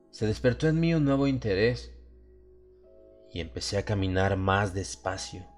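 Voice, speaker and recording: Low-voiced man narrating a horror audiobook over music. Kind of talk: dramatic scripted horror story narration. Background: music under the voice.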